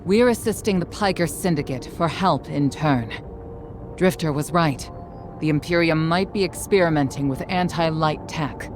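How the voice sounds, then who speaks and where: A woman's voice: deep voice, moderate pace, music in the background, natural voice. An actress playing a dutiful military woman in a video game cutscene, matter-of-fact and dryly wry as she reports. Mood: businesslike.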